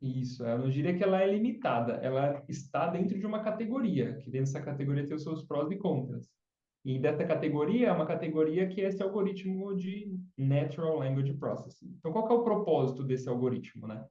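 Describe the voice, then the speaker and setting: A man is being interviewed, explaining things step by step and often tacking a quick question onto the end of a line. His voice is fairly high but mellow and dark in tone. It comes from a little way back from the mic in a roomy space, and the sound is dull. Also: animated, far from the mic. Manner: explains patiently, often adds tag questions. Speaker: podcast guest, chatbot specialist. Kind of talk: casual explanatory interview talk.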